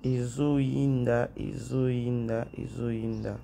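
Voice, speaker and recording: A man's voice: medium pitch, flat delivery, extremely slow, gravelly, dark tone, slight background noise. A man who has a head cold, hosting a chatty commentary vlog, repeating phrases and talking straight to his viewers. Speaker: YouTube channel host. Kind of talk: casual commentary vlog, mixing French and Lingala.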